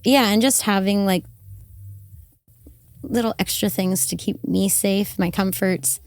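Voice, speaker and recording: A young adult woman, slightly hoarse from karaoke, chatting casually as a podcast guest with many fillers and trailing phrases. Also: medium pitch, fairly even intonation, measured pace, dry recording. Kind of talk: casual interview chat. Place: van-life festival in the desert.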